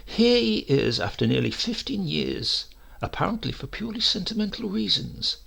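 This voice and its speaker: A man's voice: high-pitched, sing-song, normal speed, creaky, a bit bright, slightly rough, pitched above the performer's own voice, exaggerated voice. A man voicing a suspicious nephew, a writer who speaks with dry, pointed politeness, in a storybook reading. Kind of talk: acted dialogue in a storybook reading.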